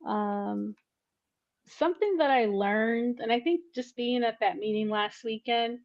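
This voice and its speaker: Dull, band-limited call audio carries a woman with a fairly high voice. She speaks very slowly, pausing and reflecting as she chats. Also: gravelly. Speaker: participant. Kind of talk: casual chat.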